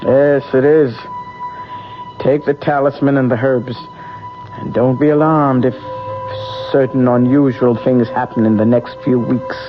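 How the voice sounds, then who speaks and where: A secretive fortune teller played by a man speaks very slowly and deliberately, with cryptic insistence. The pitch is medium, and the delivery is old-time radio drama. The sound is dull vintage broadcast audio with music behind. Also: fairly even intonation, lightly acted. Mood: cryptic, businesslike. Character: secretive fortune teller, doting parent to sick Claude.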